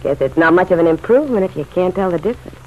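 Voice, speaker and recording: A woman's voice: on the low side, animated, very fast, close to an everyday voice. An actress playing an anxious, world-weary working-class woman in an old radio drama, with pleading, colloquial speech. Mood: hurt, hopeful. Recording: muffled, noisy old radio recording.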